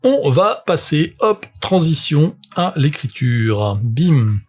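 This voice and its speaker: A man's voice: fairly high pitch, very animated, slow pace, slightly rough. A man, rambling through a casual livestream full of fillers and self-mocking asides. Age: 40s. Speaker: tabletop game author and streamer.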